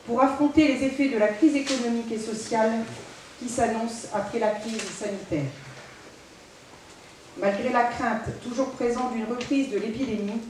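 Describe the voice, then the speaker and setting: A woman reads a formal, prepared political speech in a measured, deliberate way, as a local council president. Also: on the high side, a little mellow. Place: large village hall, indoors. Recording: very echoey hall, distant mic, faint noise.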